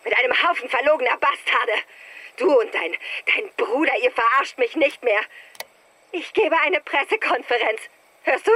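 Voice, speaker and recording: A woman's voice: high voice, moderate pace, pushed and bright. An actress in a German radio drama playing a volatile, wounded female film star, intimate and theatrical. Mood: furious, defiant.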